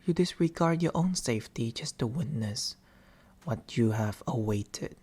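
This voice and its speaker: An eerie male sleep paralysis demon, acted by a man, speaks right at the ear in an ASMR roleplay. The delivery is slow and intimate, slipping between soft mid-pitched speech and whispers. The demon is patient and coaxing, with a theatrical storyteller's phrasing. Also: expressive, slightly rough. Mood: hushed storytelling. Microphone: close binaural microphone.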